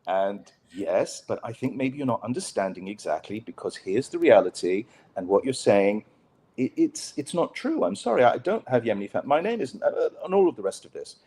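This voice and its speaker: A man from Pakistan, a journalist guest telling stories in a relaxed interview, with wry, dry humor and lots of fillers and restarts. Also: medium pitch, moderate pace, slightly rough. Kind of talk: conversational storytelling on a podcast interview.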